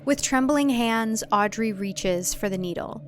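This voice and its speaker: A woman's voice: fairly high pitch, measured pace, a little hiss or hum. A woman reading scripted thriller fiction as a narrator, in a dramatic storytelling style.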